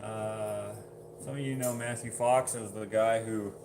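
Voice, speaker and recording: Man, lowish voice, casual livestream chat, noisy home recording. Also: fairly even intonation, a little slow. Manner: chatty, laughs often, reads comments aloud. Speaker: livestream host. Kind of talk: casual livestream chat reading viewer comments.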